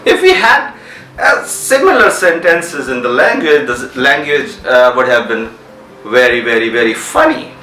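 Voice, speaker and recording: A man's voice: mid-range voice, expressive, normal speed, pushed and bright, echoey room, far from the mic, slight background noise, poor audio. A man with a Pakistani English accent teaching a grammar lesson, repeating points and checking understanding with questions. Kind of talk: classroom grammar lecture.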